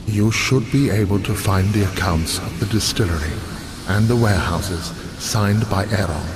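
An actor playing a solemn, earnest elf envoy in a video game, with formal, measured phrasing. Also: male voice, fairly low pitch, noisy background, close to an everyday voice.

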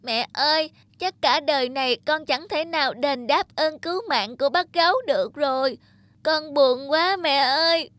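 A woman's voice: high-pitched, normal speed, a bit bright. A woman voicing a kind, determined young male puppy in a children's cartoon. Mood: sad. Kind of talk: children's cartoon storytelling dub.

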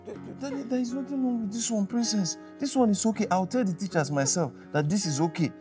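A man's voice: high-pitched, brisk, music in the background, lightly acted. A male actor with a Nigerian accent playing a harried, exasperated father in a screen drama, repeating himself as he pleads and scolds. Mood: flustered soothing. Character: harried, exasperated father struggling alone with his children. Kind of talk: naturalistic screen drama acting.

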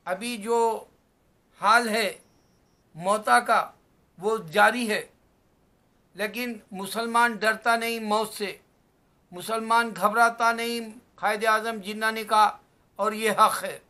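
A man, giving an emphatic, exhortatory religious talk to camera in measured phrases. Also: high voice, fairly even intonation, pushed and bright. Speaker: religious vlogger. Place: small indoor room at home. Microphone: webcam or phone at arm's length.

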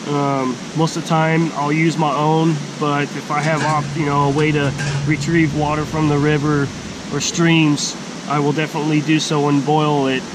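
A middle-aged man giving a casual, plain-spoken outdoor gear walkthrough in a forest by a stream, speaking slowly with halting pauses. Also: on the high side. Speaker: hiking vlogger. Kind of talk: casual gear show-and-tell. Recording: steady outdoor background hiss.

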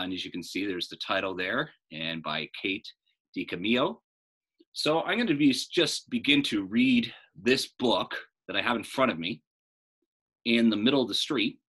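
A man in his thirties reading a children's book aloud as a storyteller, in an animated style. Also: on the low side, husky, poor audio.

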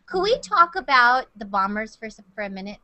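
A woman in her thirties, a news anchor, asking animated, challenging questions in a casual panel discussion in a TV studio. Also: a little high, fairly quick.

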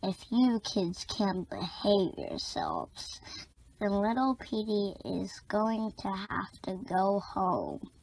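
A woman voicing a stern, weary creature father in a children's storybook, measured and admonishing. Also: mid-range voice, very animated, darkish tone, heavily acted, poor audio.

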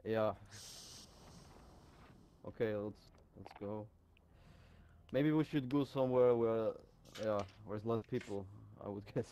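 A man's voice: on the low side, slow pace, pauses often, warm tone, smooth. A man chatting casually with his teammate during an online game, agreeable and tentative in his suggestions. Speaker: teammate on voice chat. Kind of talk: casual gaming chat.

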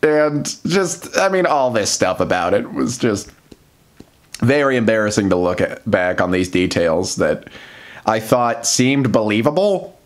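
Low-voiced American man, rambling and self-deprecating. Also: sing-song, unhurried. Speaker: vlogger. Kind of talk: casual confessional monologue to camera. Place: small plain room indoors.

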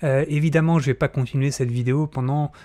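A man's voice: medium pitch, fairly even intonation, fast, mellow. A middle-aged man hosting an informal spoken tutorial, close to a desk microphone in a small room. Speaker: audio fiction tutorial host. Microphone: close desk microphone, wearing headphones.

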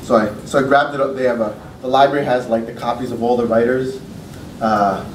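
A man's voice: medium pitch, fairly even intonation, fairly quick. A middle-aged man, a poet, reading his poems at a lectern in a lecture room, with loose, joking, profane asides between them. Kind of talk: poetry reading with casual asides. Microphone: podium mic from a far camera. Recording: roomy, distant, noisy room sound.

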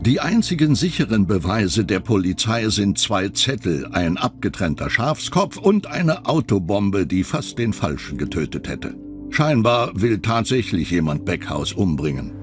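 A man narrating a scripted true crime documentary in a measured way that builds suspense. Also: fairly low pitch, big pitch swings, over music.

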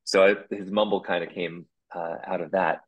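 A man, a puppeteer, talking casually as a guest, rambling with restarts and quick laughs. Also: sits low, fairly even intonation, husky, lo-fi sound. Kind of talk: casual interview chat.

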